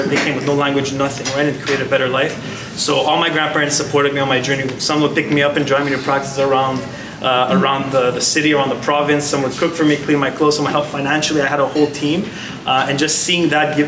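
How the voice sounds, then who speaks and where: A man in his thirties, a former athlete, answering onstage interview questions earnestly, with many restarts. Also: medium pitch. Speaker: guest speaker, former athlete. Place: large gala hall. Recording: echoey hall, heard from far off, noisy.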